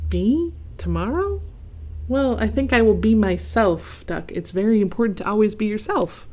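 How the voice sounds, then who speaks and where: A woman's voice: medium pitch, expressive, moderate pace, darkish tone, a little hiss or hum, natural voice. A woman voicing a timid, thoughtful young male goose in a children's storybook reading, hesitant and nervous.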